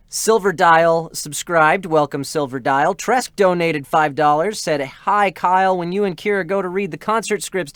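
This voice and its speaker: A man's voice: on the high side, fairly bright tone, clear, resonant tone, no echo. A man hosting a casual livestream, reading out subscriber messages and thanking viewers. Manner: appreciative, thanks viewers often. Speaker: livestream host. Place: indoors in an air-conditioned room.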